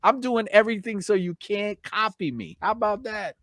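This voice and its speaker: A man hosting a casual live stream, chatting informally with slangy shout-outs to viewers, his voice slightly hoarse from long talking. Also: high voice, moderate pace, dry recording.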